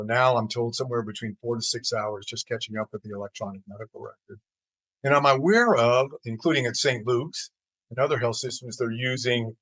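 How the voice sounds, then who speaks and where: An older American man giving measured, explanatory answers as an interview guest, pausing as he forms his thoughts. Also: medium pitch, a bit bright, no echo, low-quality recording. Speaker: interview guest, healthcare executive.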